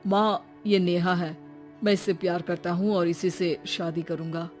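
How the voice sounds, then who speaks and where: A woman doing a lazy young man, lowered voice. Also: little pitch movement, measured pace, a little mellow, soft background music, close to an everyday voice. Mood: earnest. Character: easygoing jobless young man, the son.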